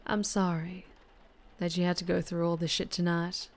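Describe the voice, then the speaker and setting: A woman playing a flirtatious, protective vampire biker in an ASMR roleplay, with a Southern US accent and a soft, intimate delivery that slips into whispers. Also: on the low side, little pitch movement, normal speed, lightly acted. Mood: caring, serious. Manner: soft, intimate, often dropping into breathy whispers.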